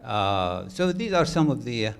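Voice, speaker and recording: A man at mid pitch speaks at a panel event, pausing often with uhs as he gathers his thoughts. His delivery is plain and unhurried. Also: big pitch swings, vocal fry, clean tone. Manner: hesitant, with many uhs. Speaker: guest speaker from a cultural center.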